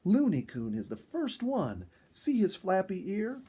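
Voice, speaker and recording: A man voicing a kindly, practical, animal-loving wife in a storybook, affectionate and gently teasing. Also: high-pitched, wildly swooping pitch, measured pace, husky, pitched above the performer's own voice, full character voice.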